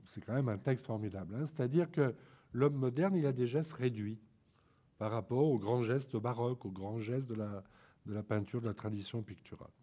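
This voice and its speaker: Male lecturer, head cold, breathy voice, thinks aloud with frequent hesitations. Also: mid-range voice, moderate pace, dark tone. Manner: hesitant, many ums and restarts. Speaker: lecturer on photography. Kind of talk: informal art lecture.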